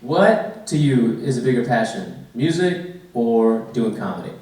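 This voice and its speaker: A man in a live podcast on a club stage, calmly reading fan questions to move the show along. His voice sits at a middle pitch. He sounds distant in a very echoey room. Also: a little slow. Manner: steady, moves the show along.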